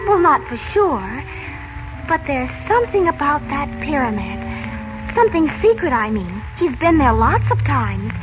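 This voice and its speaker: A muffled old radio broadcast with music underneath. a trusting young girl with a very high voice, innocent and anxious, pleading in polite, hesitant phrases. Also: big pitch swings, measured pace. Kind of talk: 1940s radio serial acting.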